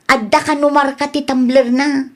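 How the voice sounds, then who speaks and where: A woman's voice: sits high, flat delivery, normal speed, natural voice. A Philippine-accented woman playing a defensive, evasive married woman accused of petty theft in a comedy radio drama. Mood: scolding, fretful.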